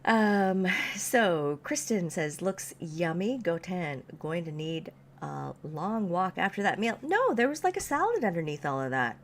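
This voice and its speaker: A Canadian woman co-hosts a relaxed livestream from an off-grid cabin and reads out viewer comments. Her voice sits low with a gravelly edge, and her pitch swings widely. She laughs easily between remarks. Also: a little slow, a little hiss or hum. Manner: chatty, laughs often. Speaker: livestream co-host. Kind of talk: casual chat, reading viewer comments.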